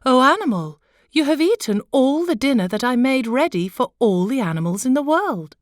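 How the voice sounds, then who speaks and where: A woman's voice: high voice, big pitch swings, moderate pace, no echo, clean studio sound, voice pushed up. A woman voicing a grand, wise but humbled king who declaims solemnly in a storybook tale. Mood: astonished.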